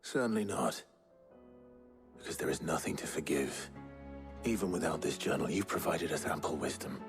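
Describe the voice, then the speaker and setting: An actor playing a brooding but kind warrior leader in a video game, speaking in a terse, grave and earnest way. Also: male voice, a bass voice, moderate pace. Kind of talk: dramatic video game acting. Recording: faint game music underneath.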